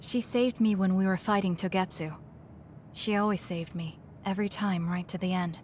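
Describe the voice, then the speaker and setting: A woman's voice: mid-range voice, steady tone, moderate pace, darkish tone, slight background noise, close to an everyday voice. An actress playing a cool, blunt young female psychic soldier in a game, speaking in a reserved, matter-of-fact way, with music behind. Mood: quiet. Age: young adult. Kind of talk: video game dub acting.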